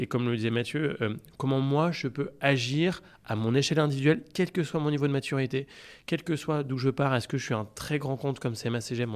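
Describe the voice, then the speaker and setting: A man speaking conversationally as an interview guest, earnest, often repeating words as he searches for the next one. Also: medium pitch. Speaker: podcast guest, company founder.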